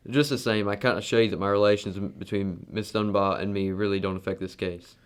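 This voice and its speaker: A man's voice: lowish voice, fairly even intonation, a little fast, clean tone, natural voice. A man playing a proud, defensive wealthy husband in an amateur radio drama, speaking bluntly. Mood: guarded, blunt.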